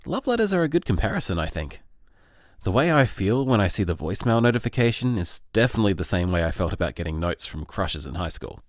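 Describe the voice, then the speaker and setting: A man playing a gentle, bashful, overthinking young boyfriend in a casual audio drama, mild and hesitant. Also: on the low side, big pitch swings, fast talker. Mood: fond, earnest. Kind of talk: audio drama voicemail monologue, casual and conversational.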